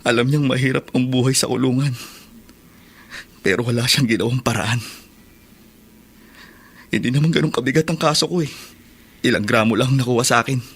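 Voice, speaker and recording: An actor with a Filipino accent playing an aggrieved young son in a radio drama, husky, pleading and emotional. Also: male voice, medium pitch, a little fast, long pauses. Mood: bitter, hurt. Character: aggrieved, bitter young son who lands in prison.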